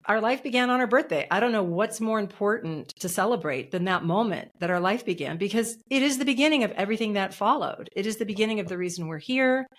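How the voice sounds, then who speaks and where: A woman's voice: medium pitch, expressive, fast, hardly any pauses. A middle-aged woman being interviewed as an author and coach, speaking earnestly and emphatically, repeating her key phrases. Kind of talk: podcast interview.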